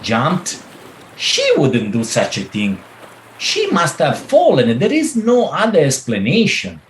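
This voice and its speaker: A man's voice: fairly high pitch, wildly swooping pitch, slow pace, heavily acted. A man playing the defensive, protesting husband of a missing woman in a radio play. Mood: disbelieving. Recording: echoey room, faint background noise.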